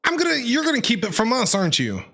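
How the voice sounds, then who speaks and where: A man's voice: very high-pitched, huge pitch swings, fast talker, creaky, pushed and bright. A man giving casual live playthrough commentary as a game streamer, informal and reactive, with jokey asides.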